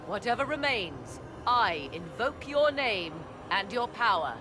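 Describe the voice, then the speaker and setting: A woman's voice: mid-range voice, sing-song, noisy background. A woman playing a proud, learned witch in a video game, speaking in formal, archaic, mysterious phrases. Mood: commanding invocation. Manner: archaic, measured, theatrical phrasing. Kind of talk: video game cutscene acting.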